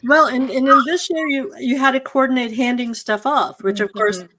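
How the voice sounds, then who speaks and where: A woman's voice: high-pitched, fairly even intonation, moderate pace, a bit bright, slight background noise, rough recording. A woman moderating an informal community theatre Q&A on a video call, effusive and thankful in the way she talks. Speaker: host of a community theatre online talkback.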